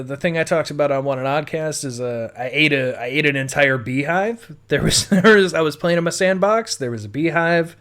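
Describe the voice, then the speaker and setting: Higher-voiced American man telling stories casually. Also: fairly bright tone, clean tone. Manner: informal, rambling, frequent swearing. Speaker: podcast host. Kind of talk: casual personal storytelling.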